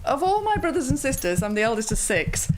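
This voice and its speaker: A woman in her fifties with a soft Yorkshire accent, chatting as an interview guest, with plenty of ums and uhs. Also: sits high, sing-song, brisk, background noise. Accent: mild Yorkshire. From Yorkshire, England. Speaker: guest, yoga teacher. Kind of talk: casual interview chat.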